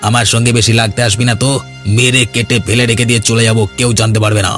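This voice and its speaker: A man acting as a cocky young cartoon villager with a mid-pitched voice. He is blunt and goading, a quarrelsome type who talks in loose everyday speech. Faint music runs behind him. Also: level tone, fast talker, fairly bright tone, close to an everyday voice. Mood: menacing. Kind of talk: Bengali cartoon dialogue.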